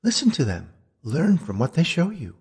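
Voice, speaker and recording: A man's voice: fairly high pitch, huge pitch swings, normal speed, a little mellow, breathy edge, pitched above the performer's own voice, exaggerated voice. A man voicing an elderly female ghost in a storybook reading, solemn and admonishing. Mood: solemn warning. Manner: solemn, exhorting. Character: elderly woman ghost, earnest admonishing messenger.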